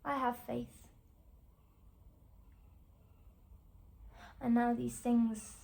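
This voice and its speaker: Casting: woman to play young female actress, weary and wounded but striving, naturalistic drama monologue. Higher register with some fry, halting delivery full of pauses. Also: little pitch movement, darkish tone. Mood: earnest resolve. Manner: halting, broken phrases with long pauses. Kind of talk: naturalistic screen-acting stage monologue.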